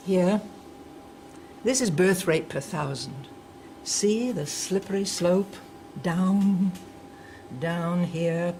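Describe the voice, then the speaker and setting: An actress playing a stern, fanatical older indoctrinator in an audio drama, preachy and scolding. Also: female voice, on the low side, darkish tone, a little hiss or hum. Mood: contemptuous.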